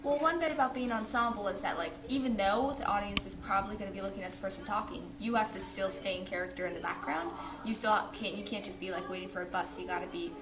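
Fast-talking woman in an echoey room. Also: mid-range voice, steady tone, crowd noise. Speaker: ensemble performer. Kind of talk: casual group interview.

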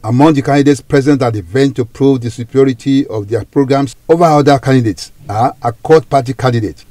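A man reading a scripted news report in a measured, formal way. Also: mid-range voice, warm tone, no echo.

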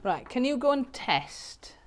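A woman's voice: sits high, big pitch swings, unhurried. A British woman giving casual instructions while setting up sound equipment in a large room. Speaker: band sound setter-up and vlogger. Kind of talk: casual instructions during PA setup. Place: large bright community hall room.

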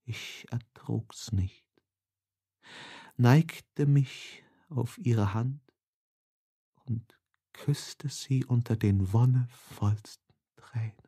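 A male audiobook narrator with a mid-range voice reads literary prose. He takes his time, phrasing slowly and expressively with a measured, dramatic delivery. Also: medium pitch, dark tone, breathy edge.

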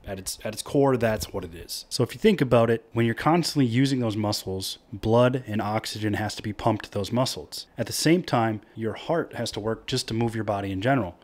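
Chatty young man with a low voice. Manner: chatty, upbeat, slangy asides. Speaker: vlogger. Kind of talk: casual explainer vlog. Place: cozy living room with Christmas tree.

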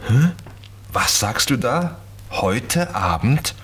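A man playing a sleepy father in a children's radio play, drowsy and drawling with a husky voice. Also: mid-range voice, very animated, takes it slowly, fairly bright tone, heavily acted. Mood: groggy. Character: sleepy father woken by his little daughter.

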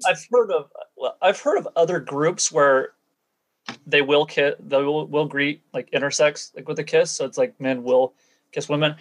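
A man's voice: a little high, normal speed. A man being interviewed as a guest, recounting and explaining things in a matter-of-fact, conversational way. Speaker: interview guest, former church member.

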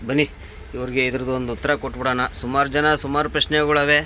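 A man's voice: mid-range voice, flat delivery, fast talker, sharp tone. A man, a truck driver vlogger chatting casually to his viewers and explaining a vehicle, outdoors with faint traffic noise behind. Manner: chatty, addresses viewers as brother and friends.

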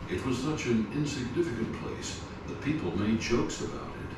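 A man narrating a documentary in a measured, scripted way, posing rhetorical questions. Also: mid-range voice. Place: documentary audio played in a garage gym. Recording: echoey, distant playback with loud noise.

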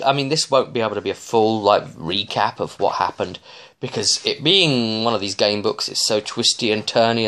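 A man in his thirties giving a casual, rambling recap as a game master, with halting, digressive phrasing and frequent restarts. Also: medium pitch, a bit bright. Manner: halting, digressive, often restarting mid-sentence. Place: small living room, indoors.

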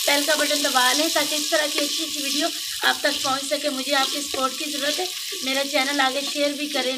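Pakistani lady doing a cooking vlog at her clay stove outside, high voice, kinda far from the phone with food sizzling loud. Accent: Pakistani Urdu. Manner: chatty, homely, pleads with viewers to subscribe. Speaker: cooking vlogger. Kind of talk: casual cooking narration. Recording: distant voice with sizzling noise.

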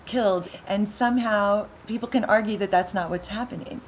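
A woman's voice: mid-range voice, a little fast, slight background noise. An American woman in her forties conducting an interview in a conversational style. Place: indoor booth seating, seated interview.

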